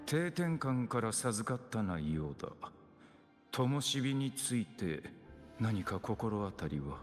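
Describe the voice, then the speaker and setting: Solemn warrior with sweeping intonation. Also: male voice, fairly low pitch, big pitch swings, moderate pace. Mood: solemn, stern. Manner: formal, stern, old-fashioned wording. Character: solemn, archaic-speaking male warrior on a deadly mission. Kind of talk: Japanese video game voice acting. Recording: reverberant game audio with music.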